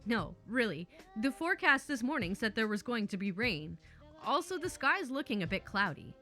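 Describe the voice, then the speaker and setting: A woman's voice: a little high, sing-song, normal speed, pushed and bright, soft background music. A woman voicing a sensible male meter engineer who points out the obvious with growing exasperation. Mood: doubtful. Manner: reasonable, exasperated.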